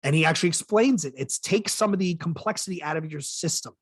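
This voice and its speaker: A man's voice: on the high side, fast, husky, no room sound. A man hosting a casual podcast discussion, thinking aloud in a conversational way and correcting himself as he goes. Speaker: podcast host.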